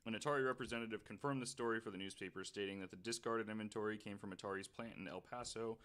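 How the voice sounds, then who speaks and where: Low-voiced man reading notes on a podcast. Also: steady tone, fast, fairly bright tone. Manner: reads notes aloud, stumbling and self-correcting, with chuckles. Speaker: podcast host reading research. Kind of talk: casual podcast chat, reading notes aloud.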